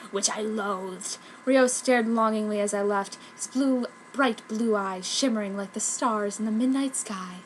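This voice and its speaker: A woman's voice: mid-range voice, fairly bright tone, slight background noise, rough recording. A woman giving a theatrical amateur reading of fan fiction. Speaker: amateur fanfiction reader.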